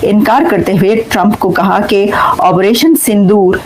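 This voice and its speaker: A woman's voice: medium pitch, measured pace, a little mellow, poor audio. A woman speaking as a news presenter, reporting and discussing current affairs.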